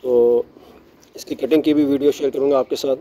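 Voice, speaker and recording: A middle-aged man giving a relaxed, chatty tour of his rooftop garden, mixing English words into his speech, recorded outdoors on a phone. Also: mid-range voice, a little fast. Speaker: gardening vlogger. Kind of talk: casual show-and-tell narration.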